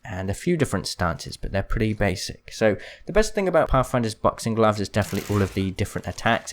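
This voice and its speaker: Male gaming creator, lowish pitch, casual explainer tone, slight background noise. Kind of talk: casual explanatory gameplay commentary.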